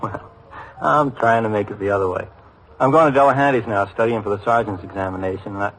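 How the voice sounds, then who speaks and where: Respectful american beat cop, lowish voice, plain street talk, noisy old radio drama. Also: male voice, fast talker, a bit bright. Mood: chatty, curious. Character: respectful, eager patrolman reporting to his superiors.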